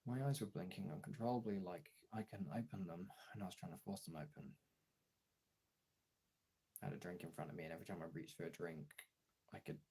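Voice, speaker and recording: A young man streamer talking casually and frankly in a gravelly, husky voice, halting at times and swearing freely. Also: low-pitched, a little mellow. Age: young adult. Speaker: streamer and voice actor chatting to followers. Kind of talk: casual candid chat.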